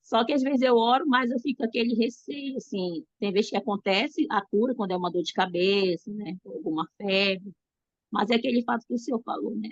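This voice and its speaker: A woman with a Brazilian accent and a hoarse voice from a cold asks her question haltingly, restarting her words. Her audio is dry and muffled, coming through a video call. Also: mid-range voice, no echo. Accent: Brazilian Portuguese. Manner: hesitant, restarts often. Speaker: student asking a question.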